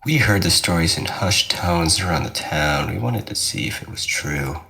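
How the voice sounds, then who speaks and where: A young male ghost twin played by a man speaks in a deep voice with a sharp, forceful edge. He teases and coaxes in a measured way, with obsessive menace, as in an ASMR horror roleplay. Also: animated, vocal fry, full character voice. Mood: darkly fascinated. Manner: taunting, measured, darkly coaxing.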